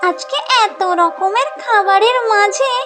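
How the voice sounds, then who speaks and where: Shrill greedy ghost woman. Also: measured pace, music in the background. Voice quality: shrill, squealing. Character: greedy shrill female ghost, food thief.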